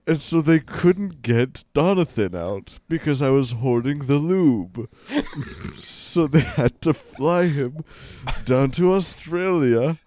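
Deadpan male comic, slow unhurried delivery, dry close sound. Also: mid-range voice, dry recording. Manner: deadpan, mock-serious. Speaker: comedy musician guest. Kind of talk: absurd comedic banter.

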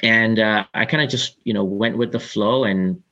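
A man's voice: fairly low pitch, steady tone, normal speed, sharp tone, dull audio. A man in his forties from Kenya, answering interview questions in a reflective, chatty way with many fillers. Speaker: interview guest.

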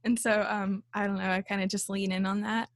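A woman's voice: mid-range voice, flat delivery, a little fast, no room sound, clean studio sound. A young woman who leads a band, chatting casually in an interview with a small, light voice, lots of fillers and frequent laughs. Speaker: band leader and songwriter.

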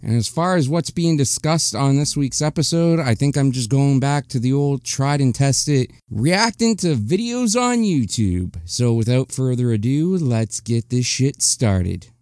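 Candid, rambling man with a smooth voice. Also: mid-range voice, animated, measured pace, few pauses, darkish tone, no echo, studio quality. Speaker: podcast host. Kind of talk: casual solo podcast monologue.

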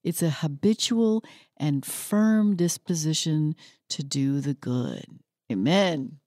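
Upbeat woman radio host, low-pitched. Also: animated, slow, warm tone, no echo, studio quality. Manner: enthusiastic, upbeat interjections. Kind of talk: radio talk show. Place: radio studio.

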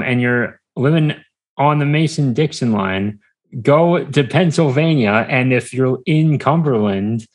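An American man chatting casually as an interview guest, rambling haltingly and often trailing off. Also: medium pitch. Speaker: podcast guest. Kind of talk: casual chat.

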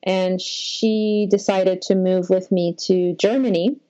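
A woman's voice: medium pitch, fairly even intonation, takes it slowly, dark tone, dry recording, highs cut off. Polish-born woman, Polish first language, guest telling her life story; meandering and reflective, dry close sound. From Poland. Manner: rambling, reflective, frequent "like". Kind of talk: personal life story in an interview.

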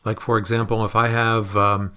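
Measured man in a podcast interview. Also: fairly low pitch, flat delivery, bright, forceful tone, clean tone. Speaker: podcast host being interviewed as a consultant.

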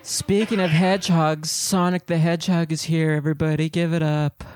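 A man doing a lewd squeaking mouse comic. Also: level tone, moderate pace, a little mellow, close to an everyday voice. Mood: cheeky. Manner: raunchy insult-comic delivery with squeaks. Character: lewd female mouse comic roasting a plumber. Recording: noisy recording.

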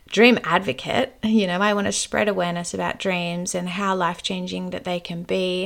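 A woman's voice: on the low side, gravelly. A woman who works as a dream teacher, telling a personal story to her viewers in an earnest, heartfelt way.

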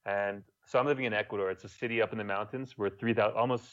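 A man's voice: lowish voice, fairly even intonation, fast, fairly bright tone, muffled recording. A young man from Montreal with a French Quebec accent, casually telling stories as a guest and swearing now and then. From Montreal, Canada. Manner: laid-back storytelling, swears casually. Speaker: guest, YouTuber. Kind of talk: casual podcast chat.

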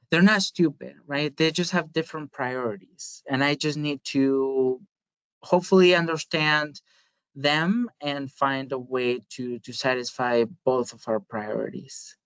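Spanish-accented man, smooth and thoughtful. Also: mid-range voice, steady tone, a little slow, fairly bright tone, no room sound. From Spain. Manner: thoughtful, many fillers and restarts. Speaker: guest, market research manager. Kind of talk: podcast interview. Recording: dry, slightly dull audio.

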